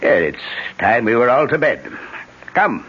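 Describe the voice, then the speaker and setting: An actor playing a gruff, guarded, superstitious Irish innkeeper with an Irish accent in a 1970s radio drama. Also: male voice, medium pitch, big pitch swings, measured pace, a bit bright, full character voice. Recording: muffled 1970s radio recording.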